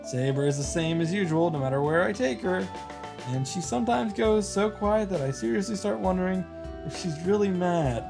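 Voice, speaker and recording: A man reading visual novel text aloud and adding his own animated reactions and summary commentary. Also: high voice, normal speed, creaky, over music. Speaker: visual novel streamer and narrator.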